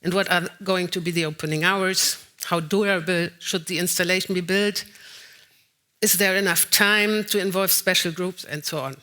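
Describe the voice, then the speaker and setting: Bright-voiced woman giving a keynote. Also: lowish voice, steady tone. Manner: slightly halting, with frequent "uh" fillers. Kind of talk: lecture read partly from notes. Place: conference hall, at a lectern.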